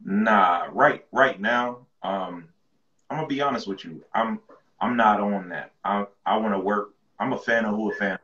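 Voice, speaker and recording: Man from with a low, deep voice, relaxed slangy guest; muffled lo-fi sound in an echoey room. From rural North Carolina. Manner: laid-back, slangy, repeats words as he restarts. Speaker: guest rapper. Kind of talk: casual interview chat.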